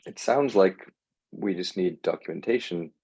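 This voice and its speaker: A man's voice: deep voice, normal speed, a little mellow, husky, no echo. A man talking casually and agreeably in a technical online meeting, with a laid-back manner. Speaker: software working group participant. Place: online group call.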